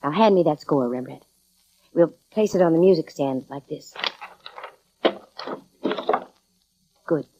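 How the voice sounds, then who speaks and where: A woman's voice: deep voice, lively intonation, moderate pace, background noise. An actress playing a wisecracking, confident female private eye, narrating and talking in 1940s radio drama style. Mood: keen, explaining. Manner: breezy, slangy wisecracks. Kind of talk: 1940s radio detective drama acting, first-person narration. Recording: dull vintage radio recording with music.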